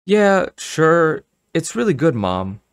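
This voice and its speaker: A man's voice: medium pitch, big pitch swings, moderate pace, no room sound, voice pushed up. A man voicing a reluctant, self-conscious schoolgirl in a storybook, speaking in a hesitant, awkward way. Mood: awkward, apologetic.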